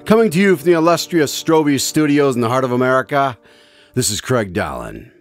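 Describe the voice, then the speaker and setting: A man's voice: mid-range voice, very animated, brisk, faint music behind, lightly acted. A man playing a cheerful, courteous radio announcer introducing and chatting in a radio drama. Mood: friendly.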